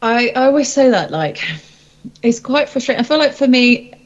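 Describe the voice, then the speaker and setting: A woman's voice: high voice, animated, normal speed, muffled recording. A woman singer-songwriter chatting casually in an interview, candid and hesitant as she thinks aloud.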